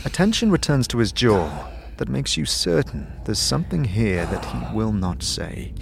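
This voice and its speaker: A man narrating a scripted fantasy audio drama in the second person, dramatic and deliberate. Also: lowish voice, very animated, creaky, background noise. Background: music.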